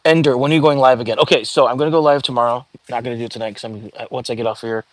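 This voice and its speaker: A man with a calming, mid-pitched voice hosts a relaxed live stream and talks loosely between viewer comments, full of "um"s and restarts. His voice is recorded dry and close, with no room sound around it. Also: rapid-fire, dry recording, rough recording. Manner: chatty, reads viewer comments aloud, many fillers and restarts. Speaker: livestream host. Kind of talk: casual live-stream chat.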